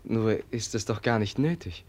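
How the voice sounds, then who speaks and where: An actor playing a meek, sincere, troubled prince in a classic German radio play, hesitant and soft-spoken, with a breathy voice. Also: male voice, lowish voice, lively intonation, normal speed, lightly acted. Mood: meek, earnest.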